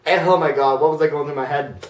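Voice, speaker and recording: A teenage boy reacting to his own old videos in his bedroom, embarrassed and excitable, jumping between mutters and yelps. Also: on the high side, fast talker, reverberant, far from the mic, slight background noise. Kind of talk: casual reaction commentary. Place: bedroom, indoors, hugging a big stuffed bear.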